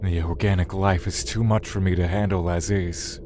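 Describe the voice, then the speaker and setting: An actor playing a brooding, aloof god in an audio drama, wary yet curious, with formal, archaic phrasing. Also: male voice, low voice, fairly even intonation, moderate pace, noisy background, close to an everyday voice. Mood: intrigued, soft.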